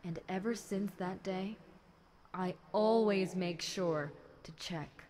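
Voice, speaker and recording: A woman's voice: lowish voice, slow pace, darkish tone. A woman voicing a bored, chatty demon girl in an audio roleplay, casual and teasing, talking straight to the listener. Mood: wry, indignant. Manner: casual, teasing, conversational with light chuckles. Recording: clear background noise.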